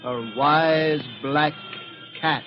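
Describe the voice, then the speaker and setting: A man's voice: on the high side, fairly even intonation, very slow. A man acting as a solemn old-time radio announcer introducing a horror tale. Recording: muffled old radio recording over music.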